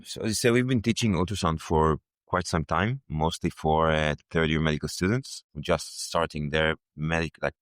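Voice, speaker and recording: A young man from Israel with a very deep voice. He studies medicine and answers thoughtfully, restarting his sentences now and then. His voice is recorded close and dry. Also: moderate pace, few pauses, fairly bright tone, no room sound. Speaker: medical student. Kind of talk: interview answers.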